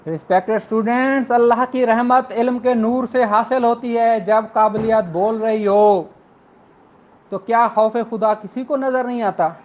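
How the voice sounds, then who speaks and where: A man's voice: extremely high pitch, fairly even intonation, normal speed, lo-fi sound. A man with a Pakistani accent lecturing law students in an earnest, rhetorical way, repeating phrases for emphasis.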